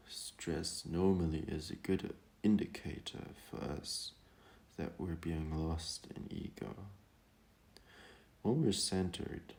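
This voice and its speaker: A man guiding a meditation in a calm, gentle manner, speaking hesitantly with long pauses. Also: low-pitched. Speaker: meditation guide. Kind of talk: guided meditation talk.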